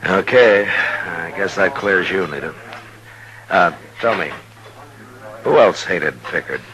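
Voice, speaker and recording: An American actor playing a gruff, weary, decent Old West marshal in a 1950s radio drama, speaking in a terse, measured way. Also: male voice, low-pitched, animated, pushed and bright, exaggerated voice, low-quality recording. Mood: grave, probing. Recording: vintage 1950s radio recording, music.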